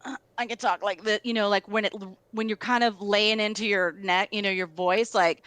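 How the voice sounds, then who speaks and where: A woman's voice: medium pitch, fairly even intonation, moderate pace, fairly bright tone, no room sound. A middle-aged woman, a guest musician, telling stories casually and filling her speech with "like". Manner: storytelling, filler-heavy, lots of "like". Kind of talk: casual conversation.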